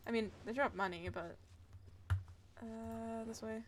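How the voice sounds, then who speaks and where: A woman's voice: a little high, fairly even intonation, measured pace. A young woman chatting casually and muttering to herself as she plays a game on a livestream. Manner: mutters to self while playing. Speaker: game streamer. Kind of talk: casual livestream gameplay chat. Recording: faint noise, keyboard and mouse clicks.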